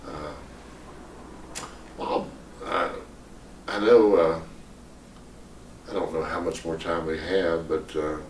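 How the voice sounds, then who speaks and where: A man's voice: low-pitched, steady tone, takes it slowly, long pauses, reverberant, far from the mic, slight background noise. An older man, a singer-songwriter, talking reflectively and hesitantly in an unscripted interview, rambling a little as he goes. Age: older, 70s or above.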